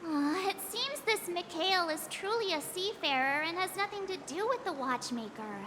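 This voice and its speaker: A woman's voice: extremely high pitch, animated, moderate pace, background noise. A woman voicing a small, cute, inquisitive girlish companion character in a video game story. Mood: puzzled, pondering.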